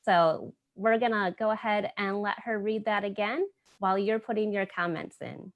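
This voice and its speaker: A woman's voice: mid-range voice, normal speed, dull audio. A woman hosting a community storytime, speaking casually and encouragingly straight to the viewers. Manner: encouraging, addresses viewers directly. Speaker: host of a community storytime program. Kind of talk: casual presenting to online viewers.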